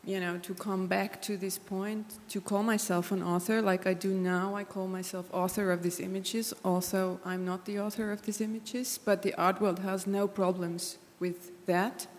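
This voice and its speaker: A woman's voice: medium pitch, flat delivery, moderate pace, hardly any pauses. A woman artist speaking on a panel, searching for words with many restarts. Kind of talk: panel discussion. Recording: long hall reverb.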